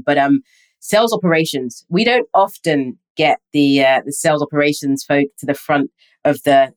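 A woman co-hosting a business podcast in an upbeat, enthusiastic way. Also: low voice. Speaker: podcast co-host.

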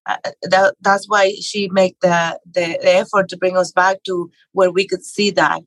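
Flat-voiced woman guest from Mexico. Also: on the low side, a little slow, dry recording. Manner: hesitant, many fillers and restarts. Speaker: podcast guest, real estate investor and author. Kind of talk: conversational interview.

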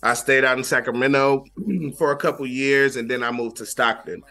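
A young man from Flint, Michigan, talks in a loose, laid-back way, with a bright, forceful voice. He repeats himself and leans on fillers as he tells his story in a casual interview. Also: mid-range voice, fairly even intonation, moderate pace. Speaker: guest rapper.

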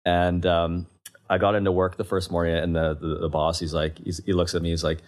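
A man's voice: low voice, fairly quick. A man in his forties from western Canada, a guest in a podcast interview, who tells stories with frequent laughs and stumbling restarts. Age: 40s. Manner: animated storyteller, laughs often, stumbling restarts. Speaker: guest, investment CEO. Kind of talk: casual interview chat.

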